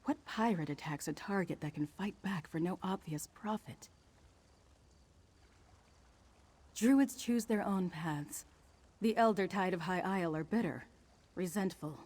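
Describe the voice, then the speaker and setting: An actress voicing a thoughtful female investigator in a fantasy video game, speaking in a measured, deliberate way. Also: mid-range voice, a little mellow, close to an everyday voice. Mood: grave, explaining. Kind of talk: scripted video game dialogue.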